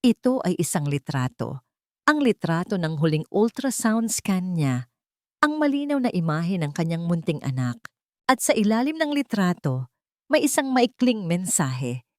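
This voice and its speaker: A woman's voice: on the low side, sing-song, normal speed, a little mellow, dry recording, studio quality. A woman reading a scripted dramatic story as a storyteller.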